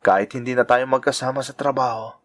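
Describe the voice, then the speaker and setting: A man's voice: medium pitch, steady tone, fast talker, natural voice. A man with a Filipino accent acting an earnest, self-sacrificing young lover and devoted brother in a sentimental Tagalog radio drama. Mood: sad, resigned. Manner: earnest, sentimental delivery, mixing in English phrases.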